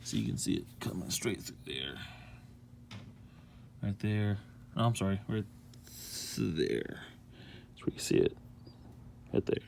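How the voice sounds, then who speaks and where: A man's voice: deep voice, expressive, measured pace, vocal fry, pauses often, a little mellow. An American man giving a casual, rambling hands-on how-to, repeating himself and apologizing as he goes on a handheld camera. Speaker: DIY vehicle vlogger. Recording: noisy handheld amateur video.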